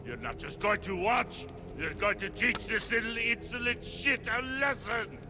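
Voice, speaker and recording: High, raging, brutal cult bully. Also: male voice, expressive, moderate pace. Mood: furious. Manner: menacing, domineering, swearing. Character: brutal, sadistic, foul-mouthed male cult bully. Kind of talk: dramatic video game acting.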